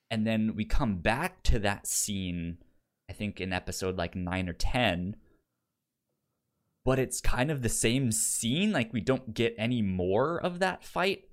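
A man with a fairly low voice talks casually as a podcast co-host reviewing a story. He often stammers, repeating syllables and words as he works through his thoughts. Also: slow pace, clear, resonant tone.

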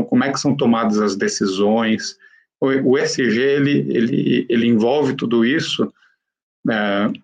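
A man with a Brazilian accent answers in an interview in a conversational way. His mid-pitched voice has a noticeable creak, and he tends to punctuate his points with little tag questions. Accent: Brazilian Portuguese. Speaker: interview guest, company professional.

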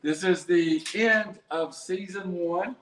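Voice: mid-range voice, takes it slowly, fairly bright tone. An adult host casually interviewing two children and prompting them with short, leading questions. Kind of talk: casual home-made show chat. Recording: roomy echo, faint background hiss.

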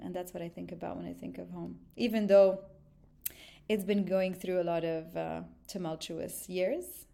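A woman's voice: fairly low pitch, gravelly, mellow. A woman from Lebanon, a podcast guest, speaking earnestly and reflectively with frequent ums. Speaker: podcast guest, consultancy founder. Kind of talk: conversational interview.

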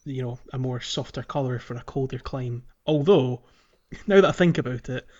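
A man hosts a gaming podcast in a casual, conversational way. His voice sits at a middling pitch, and the audio is slightly dull and lo-fi. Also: normal speed, darkish tone. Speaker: podcast host. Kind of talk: casual chat about a video game.

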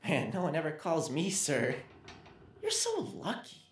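A woman's voice: deep voice, wildly swooping pitch, unhurried, a little hiss or hum, full character voice. A woman playing a timid but eager twelve-year-old boy in an audio drama, speaking hesitantly with frequent stammers. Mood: uncertain, nervous. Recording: roomy, echoing sound.

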